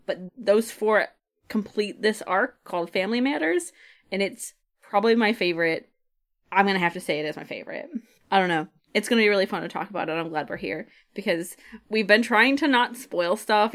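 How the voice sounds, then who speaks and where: Female podcast co-host, mid-pitched, casual and chatty, given to joking. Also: a bit bright.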